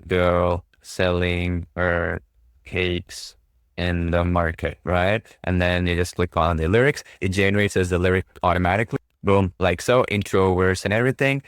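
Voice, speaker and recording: A man casually walking through a software demo, upbeat and breezy. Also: clean tone, no echo, studio quality. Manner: upbeat, breezy, says "boom" often. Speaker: product demo host. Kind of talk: casual screen-recorded walkthrough.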